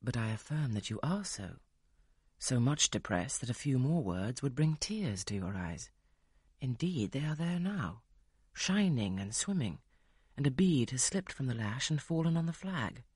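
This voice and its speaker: An audiobook reader voicing a brusque, imperious gentleman of the manor who questions bluntly. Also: mid-range voice, very animated, measured pace, breathy edge, dry recording, clean studio sound. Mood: commanding. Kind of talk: audiobook character reading.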